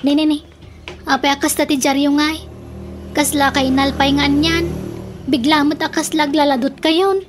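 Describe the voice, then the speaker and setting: A woman's voice: sits high, steady tone, normal speed, pauses often, noisy background, natural voice. A woman with an Ilocano accent playing a nosy, quarrelsome middle-aged villager in a comedic radio drama, teasing and bickering animatedly. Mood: chiding.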